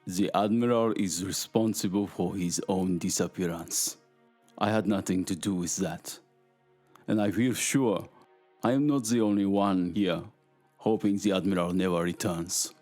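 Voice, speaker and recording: Cold, proud Japanese commander, deep voice, faint score beneath, radio drama. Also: male voice, unhurried, darkish tone, soft background music. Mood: cold, defiant. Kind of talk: audio drama acting.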